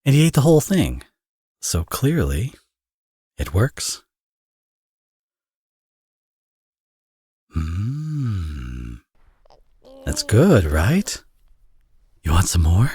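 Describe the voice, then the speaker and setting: Man acting a husky, low-voiced villain dad. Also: wildly swooping pitch, slow pace, long pauses, mellow, no room sound, clean studio sound, heavily acted. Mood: doting, cooing. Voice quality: husky, breathy. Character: teasing villain, tender doting young father. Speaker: audio roleplay voice actor. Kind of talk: intimate ASMR audio roleplay acting.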